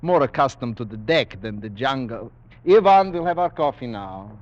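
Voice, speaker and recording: A man's voice: mid-range voice, lively intonation, normal speed, a little mellow, dry recording, natural voice. Man acting suave menacing general, wide swooping delivery. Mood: urbane, sinister. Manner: courtly, formal, coolly boastful, savoring his words. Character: suave, menacing aristocratic general who hunts men for sport. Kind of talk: 1940s radio acting. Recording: dull vintage 1940s radio broadcast.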